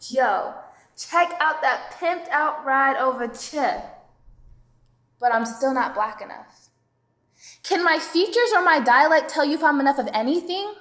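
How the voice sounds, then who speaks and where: A woman performs spoken-word poetry in a high voice, her delivery rhythmic and assertive. She sounds defiant as she makes her point. Her lines echo around a roomy space.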